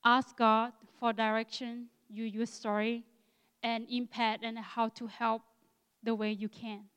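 A woman in her sixties from Thailand with an Akha Thai accent, speaking to a church in halting English and often searching for words. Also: a little high, level tone, fairly bright tone, clear, resonant tone, big-room sound. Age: 60s. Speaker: guest missionary speaker. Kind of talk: church talk with thanks.

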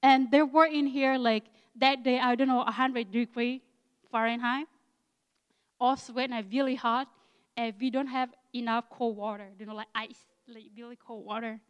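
A woman in her sixties from Thailand with an Akha Thai accent, speaking to a church in halting English and often searching for words. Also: on the high side, fairly even intonation, smooth, in a large hall, highs cut off. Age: 60s. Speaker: guest missionary speaker. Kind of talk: church talk with thanks.

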